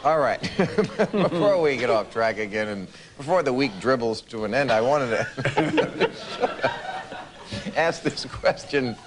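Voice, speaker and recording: Lively wry middle-aged talk show host. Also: male voice, medium pitch, unhurried, heavy background noise. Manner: wry, joking, argumentative. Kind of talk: comedic talk show hosting. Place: television studio with audience.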